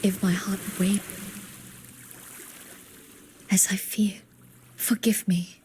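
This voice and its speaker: A woman playing a gentle, sorrowful healer in a fantasy game, speaking softly and formally, often close to a whisper. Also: medium pitch, little pitch movement, a little hiss or hum, lightly acted. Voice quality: soft, breathy, often near whispering. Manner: solemn, measured, formal speech. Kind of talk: scripted fantasy game dialogue.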